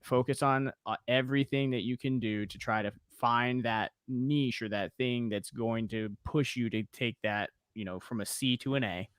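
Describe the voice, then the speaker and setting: A man's voice: medium pitch, moderate pace, fairly bright tone, clear, resonant tone, dry recording. An American man hosting a casual podcast interview, giving advice in a conversational way. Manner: advice-giving, conversational, many "you know"s. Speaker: podcast host.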